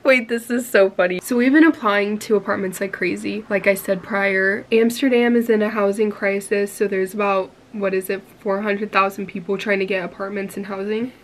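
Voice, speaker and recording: A young woman, hoarse as she recovers from losing her voice, chatting casually to camera as a lifestyle vlogger. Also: mid-range voice, fairly even intonation, moderate pace, hardly any pauses, slight background noise, low-quality recording. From United States. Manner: chatty, bubbly, heavy use of "like" and "you guys".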